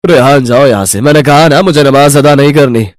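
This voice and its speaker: A man's voice: mid-range voice, fairly quick, dry recording, studio quality, lightly acted. A man playing a hot-tempered fourteen-year-old elder brother in a children's cartoon story, irritable and snapping. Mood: irritated. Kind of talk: children's animated moral story acting.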